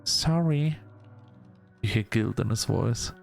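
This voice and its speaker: A man hosting a gaming video, reading story text aloud in a halting, measured storytelling delivery. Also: fairly low pitch, level tone, gravelly, a little mellow, soft background music. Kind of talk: let's-play narration, reading game text aloud.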